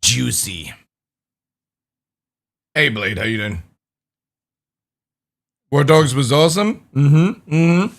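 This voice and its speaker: A man's voice: mid-range voice, expressive, extremely slow, long pauses. A young man streaming, chatting casually with viewers, swearing now and then, his voice a little crackly. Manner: casual, swears, greets chat by name. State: slightly crackly voice.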